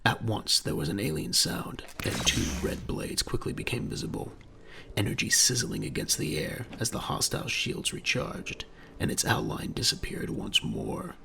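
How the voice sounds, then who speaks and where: A man with a gravelly voice narrating a dramatic audio drama in a grave, measured storytelling manner. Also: huge pitch swings, bright, forceful tone, a little hiss or hum. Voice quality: very deep, gravelly. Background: faint music.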